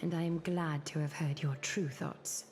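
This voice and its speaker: An actress playing a regal, knowing noblewoman in a fantasy video game, speaking in a formal, poised and deliberate way. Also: low-pitched, steady tone, darkish tone, a little hiss or hum, close to an everyday voice. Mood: approving, solemn. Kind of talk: video game story acting.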